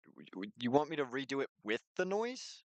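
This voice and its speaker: A man's voice: mid-range voice, very animated, fairly quick, no room sound. Playful, dry-sounding male game streamer. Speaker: streamer hosting a game playthrough. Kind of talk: casual gaming livestream chat, reading game text aloud.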